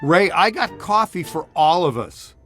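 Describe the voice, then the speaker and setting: An actor playing a sarcastic, flirty middle-aged ghost hunter in a video game, with a deadpan, offhand delivery. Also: male voice, high-pitched, a little slow, faint music behind, heavily acted. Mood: dry, joking. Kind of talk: video game character acting.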